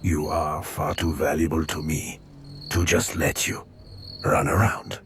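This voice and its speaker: A man plays a cold, possessive captor with an extremely deep, creaky voice. He sounds controlling and menacing, in an audio drama scene with faint crickets. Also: fairly even intonation, measured pace, a little hiss or hum, full character voice. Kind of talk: dramatic audio fiction acting.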